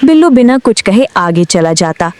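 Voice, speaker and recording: A woman's voice: mid-range voice, big pitch swings, moderate pace, noisy background. A woman storyteller reading a scripted moral tale as narrator.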